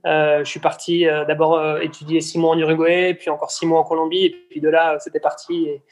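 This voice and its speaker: A man's voice: a little high, flat delivery, a little fast, faint music behind. A man, answering interview questions hesitantly with many fillers and restarts. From Belgium. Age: 20s. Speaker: guest, brand founder.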